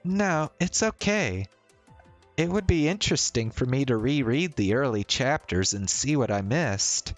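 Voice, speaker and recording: Bir adamın canlandırdığı canlı tonlamalı, kitap kurdu genç kadın. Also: male voice, medium pitch, expressive, unhurried, soft background music, close to an everyday voice. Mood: eager. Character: friendly, bookish young woman in a visual novel. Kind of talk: character reading of visual novel dialogue.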